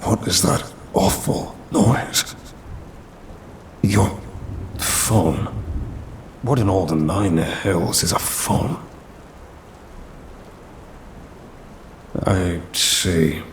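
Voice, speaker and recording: Casting: a man to play a smug, centuries-old demon for ASMR roleplay, very low and unhurried, condescending, old-fashioned phrasing. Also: expressive, exaggerated voice. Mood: puzzled, indignant. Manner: haughty, teasing, old-fashioned wording, drawn-out delivery. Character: smug, ancient male demon trapped in a cursed necklace. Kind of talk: ASMR audio roleplay acting. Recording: rumbling rain and thunder noise.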